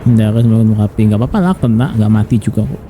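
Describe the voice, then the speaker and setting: Very dark-voiced Indonesian man commenting on gameplay. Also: fairly low pitch, rapid-fire, soft background music. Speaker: game streamer.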